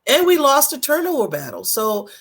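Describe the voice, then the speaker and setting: A co-host in a casual sports chat with a hoarse, nearly gone voice, giving blunt, emphatic opinions. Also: high-pitched, wildly swooping pitch, moderate pace, a bit bright.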